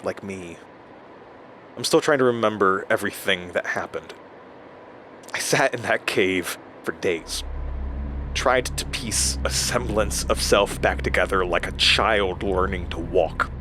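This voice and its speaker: An actor in an audio drama playing a rattled, self-doubting man who records a halting, stammering journal, talking to himself. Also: deep voice. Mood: lost, scattered. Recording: steady noise, like inside a moving car.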